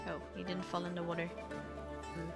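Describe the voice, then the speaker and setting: A woman's voice: lowish voice, completely flat delivery, brisk, creaky. Woman chatting through a game, music behind. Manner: chatty, muses aloud to herself. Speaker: game streamer. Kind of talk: casual video game playthrough commentary, reading dialogue aloud.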